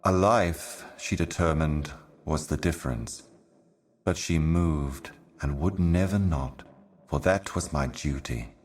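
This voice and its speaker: A man voicing an ancient, weary otherworldly envoy in a video game, delivering cryptic poetic monologues in a grave, brooding, measured manner. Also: very deep voice. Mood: solemn, cryptic. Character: ancient solemn otherworldly envoy, weary eternal servant of cosmic darkness.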